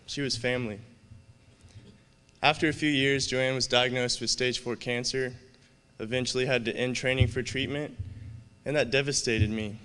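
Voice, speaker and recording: An earnest man in his thirties delivers a prepared speech from a stage podium. His voice is smooth and resonant, and it carries a long reverb through a large hall. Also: mid-range voice, steady tone, a bit bright. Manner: earnest, measured and heartfelt. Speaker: student award finalist. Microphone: podium microphone.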